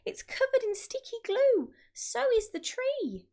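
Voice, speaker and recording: A woman's voice: very high-pitched, very animated, unhurried, warm tone, voice pushed up, full character voice. A woman voicing a frantic storybook bird, calling out warnings in an urgent singsong. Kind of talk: children's storybook read-aloud.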